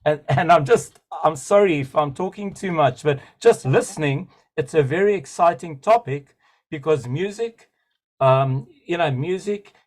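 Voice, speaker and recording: Male participant, higher-pitched, eager and digressive, webinar call. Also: a little slow. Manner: enthusiastic, rambling, digressive. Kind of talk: spontaneous comment in a webinar. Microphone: video call.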